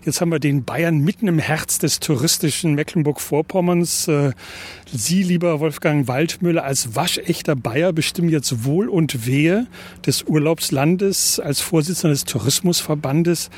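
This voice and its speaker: Male interviewer, somewhat high voice, a little husky, playful teasing questions. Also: moderate pace, a little mellow.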